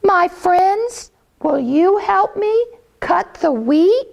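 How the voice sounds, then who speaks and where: A woman's voice: very high-pitched, expressive, drawn-out words, creaky, voice pushed up, heavily acted. A woman voicing a kind, hardworking mother hen in a children's story, with a sweet, coaxing manner. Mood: hopeful.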